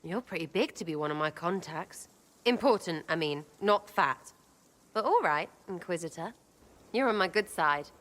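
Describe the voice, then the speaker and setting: A woman's voice: fairly low pitch, expressive, moderate pace, fairly bright tone. An actress with an English accent playing a cheeky, blunt, hot-tempered young female rogue in a video game. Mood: wry. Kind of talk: video game cutscene acting.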